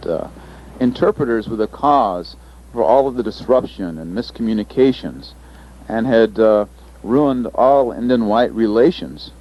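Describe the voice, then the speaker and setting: A man giving a presentation as an interpreter, speaking thoughtfully with pauses and fillers. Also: lowish voice. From United States. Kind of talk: recorded panel presentation. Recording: dull lo-fi recording, faint ambience.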